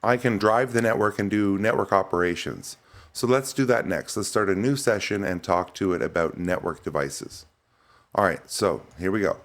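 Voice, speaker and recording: A man presenting a software demo in a casual, informal way, thinking aloud as he works through it. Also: low-pitched, moderate pace. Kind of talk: casual screen-share tech demo.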